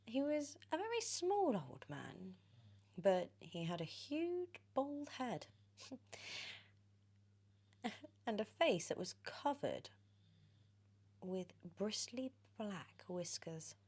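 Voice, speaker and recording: A British woman reading a storybook in ASMR style, drifting between soft whispers and expressive, animated speech. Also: mid-range voice, drawn-out words, pauses often. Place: on a sofa indoors.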